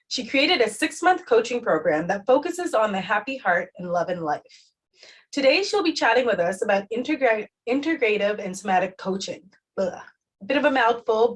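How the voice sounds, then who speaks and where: A woman's voice: mid-range voice, husky, rough recording. A woman hosting a podcast, tired, who reads the guest introduction from a script and chats in a friendly way. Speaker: podcast host.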